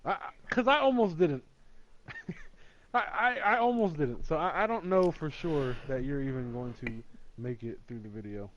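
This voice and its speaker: A man's voice: a little high, expressive, a little slow, a little hiss or hum. A man co-hosting a gaming podcast, talking in an emphatic, profane, ranting way. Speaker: podcast co-host. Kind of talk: casual gaming podcast chat. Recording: dull lo-fi mic.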